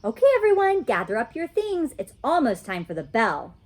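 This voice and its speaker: A woman voicing a brisk, commanding female kindergarten teacher in a storybook. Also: a little high, huge pitch swings. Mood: bossy. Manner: commanding, emphatic. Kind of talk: storybook read-aloud.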